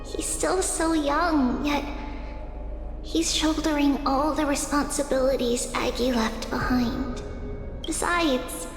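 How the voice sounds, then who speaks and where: High, sweet childlike big sister. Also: female voice. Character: gentle big-sister young woman, childlike, caring and teasing. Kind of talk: English video game voice acting. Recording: echoey hall reverb under music.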